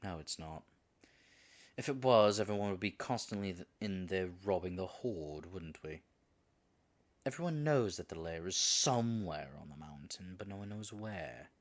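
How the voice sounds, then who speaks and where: A man's voice: low voice, animated, moderate pace. A man acting in an audio roleplay as a nervous male dragon disguised as a human guide, stammering and muttering asides to himself. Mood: persuasive, composed.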